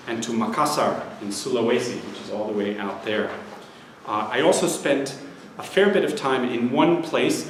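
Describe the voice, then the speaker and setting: A man's voice: mid-range voice, slight background noise. Man lecturing in a very echoey hall. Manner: measured, explanatory, listing places steadily. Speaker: history professor giving a lecture. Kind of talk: academic lecture. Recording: very echoey hall with faint ambience.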